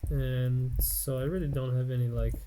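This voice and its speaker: A man's voice: mid-range voice, fairly even intonation, normal speed, mellow, slight background noise, low-quality recording. An American man in his late twenties, rambling and self-mocking as he thinks aloud over gameplay commentary at his home computer. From United States. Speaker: Let's Play gamer.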